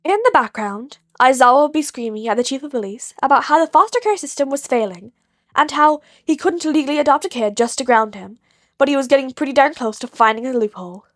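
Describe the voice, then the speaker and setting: A woman's voice: high-pitched, brisk, no room sound, clean studio sound. A woman narrator reading a scripted story expressively.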